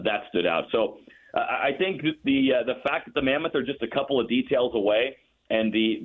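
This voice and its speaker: A man with a fairly low voice calls into a sports radio show. The phone line makes him thin and tinny. He talks through the game like an analyst, often doubling back on his words as he thinks. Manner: thoughtful, repeats words as he thinks. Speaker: radio play-by-play announcer as guest. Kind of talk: casual sports talk, analytical. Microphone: phone call.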